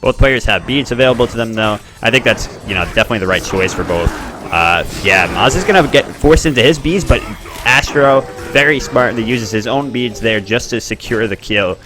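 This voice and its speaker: Chatty male game commentator, bright voice. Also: a little fast, soft background music. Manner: chatty, excitable, bursts up in pitch at plays. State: expects to lose his voice. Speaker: tournament host and commentator.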